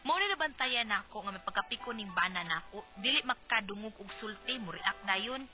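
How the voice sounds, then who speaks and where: A woman acting an exasperated, teasing wife in a radio comedy drama, with a Cebuano accent and an animated, nagging manner. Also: mid-range voice, a little fast, close to an everyday voice. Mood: weary, confiding. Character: teasing, exasperated wife of a touchy husband, narrating her story. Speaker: radio drama actress and narrator. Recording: dull lo-fi radio, faint music.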